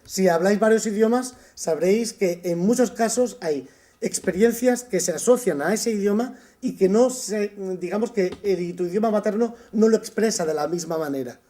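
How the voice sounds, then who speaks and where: A man from Spain giving a summer course lecture, thoughtful, often pausing with "eh" and restarting his sentences. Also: high-pitched, mellow. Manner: thoughtful, with frequent "eh" pauses and restarts. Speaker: university lecturer.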